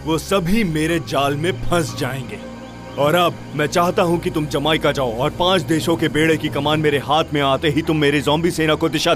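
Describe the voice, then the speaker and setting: A man acts a power-hungry cartoon schemer. His voice is a little high for a man, and he talks in a grandiose, plotting way. Music runs behind him. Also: natural voice. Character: scheming, power-hungry male villain raising a zombie army. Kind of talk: dubbed cartoon villain acting.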